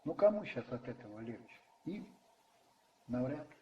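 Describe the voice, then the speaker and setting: A man narrating a radio play dramatically from a script, in measured phrases with pauses. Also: mid-range voice, big pitch swings, warm tone, dull audio. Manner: measured, pausing between phrases. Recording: roomy echo, distant, faint music behind.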